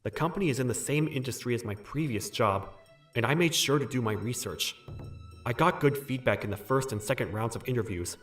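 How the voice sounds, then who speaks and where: A male actor plays a young salaryman in a manga dub, mid-pitched and expressive. He is earnest and put-upon, recounting his working life as a story, with faint music underneath. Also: a little fast, natural voice. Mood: hopeful, musing. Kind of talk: manga dub narration and dialogue.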